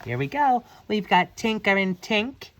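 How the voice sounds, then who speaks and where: A man's voice: high voice, very animated, unhurried, a bit bright. A man reading a storybook aloud to children as a parent, playful and theatrical, with little asides. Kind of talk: animated storybook read-aloud.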